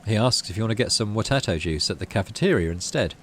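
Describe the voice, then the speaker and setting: Lively low-voiced man doing a let's play. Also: fast. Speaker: gaming channel host. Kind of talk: let's play, reading game text aloud with casual commentary.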